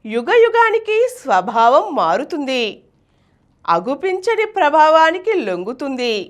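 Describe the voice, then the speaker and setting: Female poetry reader and presenter: high voice, animated intonation, declamatory scripted delivery. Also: measured pace. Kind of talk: expressive scripted poetry recitation and commentary.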